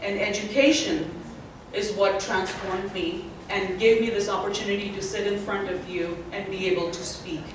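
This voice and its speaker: A woman's voice: medium pitch, a little hiss or hum. Middle-aged indian woman on a panel, earnest, sounds far off in a big echoey hall. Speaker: panelist, associate dean. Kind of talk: panel talk to a symposium audience.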